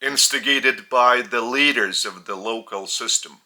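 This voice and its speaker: Male spiritual teacher, Lithuanian accent, slow, very bright tone. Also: mid-range voice. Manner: deliberate, halting, with long pauses.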